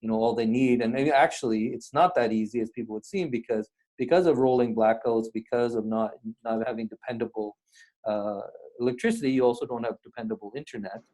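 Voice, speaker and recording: A man's voice: medium pitch, brisk, a little mellow, poor audio. A man from Pakistan hosting an interview, talking casually and rambling with plenty of "you know" fillers. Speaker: podcast host.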